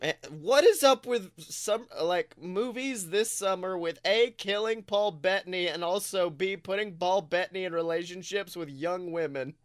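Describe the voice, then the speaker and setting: A man with a notably high voice talks casually as a podcast co-host. He tells things in an animated, profane way, quoting his own reactions. The sound is very dry, with next to no room echo. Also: moderate pace, few pauses, clear, resonant tone. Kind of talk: casual film discussion chat.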